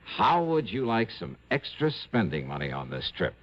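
Low, animated radio detective. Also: male voice, normal speed. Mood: coaxing, triumphant. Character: shrewd, brisk, confident male insurance investigator. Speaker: lead actor and narrator. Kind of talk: 1960s radio detective acting. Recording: muffled old radio broadcast recording.

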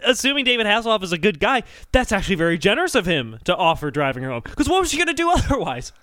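A man's voice: sits high, big pitch swings, rapid-fire, pushed and bright, no room sound, studio quality. A man co-hosting a podcast, bantering comically about songs with mock-serious, emphatic reasoning. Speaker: podcast co-host. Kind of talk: comic song-critique banter.

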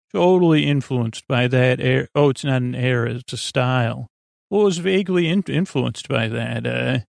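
Creaky-voiced man rambling soothingly. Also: medium pitch, dry recording, studio quality. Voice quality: creaky, gravelly. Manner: meandering, halting, digressive, soothing. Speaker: podcast host. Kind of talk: rambling bedtime podcast monologue to lull listeners to sleep.

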